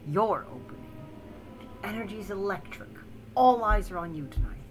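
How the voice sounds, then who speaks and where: A woman reading a visual novel aloud as narrator and player, in an expressive storytelling style. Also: mid-range voice, normal speed. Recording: loud music over the voice. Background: music louder than usual.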